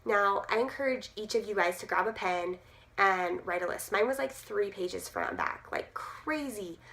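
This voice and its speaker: A woman in her thirties, hoarse from losing her voice, chatting casually to camera with many fillers. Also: medium pitch, very animated, normal speed, a bit bright. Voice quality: gruff. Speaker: direct sales team leader.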